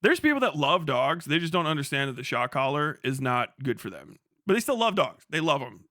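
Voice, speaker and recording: A man's voice: a little high, fairly quick, no room sound, clean studio sound. A man streaming live, chatting casually, swearing constantly and breaking into laughter. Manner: profane, excitable, laughs a lot. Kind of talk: casual livestream chat.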